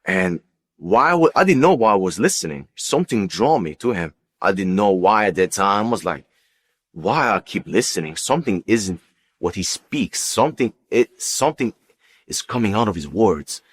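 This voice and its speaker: Very deep-voiced man giving testimony. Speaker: guest giving a personal testimony. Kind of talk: unscripted personal testimony.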